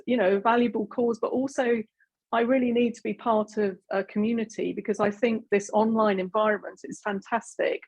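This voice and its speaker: A woman from Britain with a husky voice gives an online presentation. She talks in a loose, chatty way, full of "um" and restarts. She is heard over a video call. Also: medium pitch, a little mellow. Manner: chatty, many fillers and restarts. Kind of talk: webinar presentation.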